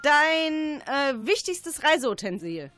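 A woman hosts a radio interview with highly animated intonation and a slightly high voice. She puts short questions over clearly audible music. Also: takes it slowly. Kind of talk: quick-fire radio interview.

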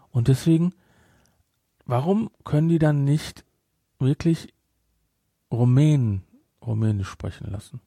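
A man's voice: mid-range voice, vocal fry, dark tone, breathy edge, no echo. A man speaking as a podcast host, discussing a film casually and emphatically, repeating his points to drive them home.

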